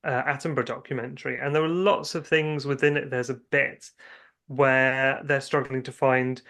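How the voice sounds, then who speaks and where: A man's voice: medium pitch, flat delivery, moderate pace, fairly bright tone. Man chatting, rambling through anecdotes. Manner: hesitant starts, rambling anecdotes, self-quoting. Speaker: guest writer and filmmaker. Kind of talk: casual interview chat about own creative work.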